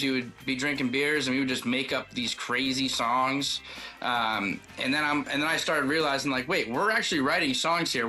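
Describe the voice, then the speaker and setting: A man's voice: mid-range voice, sharp tone. A man chatting casually as an interview guest, a country singer-songwriter telling personal stories in a loose, rambling, informal way.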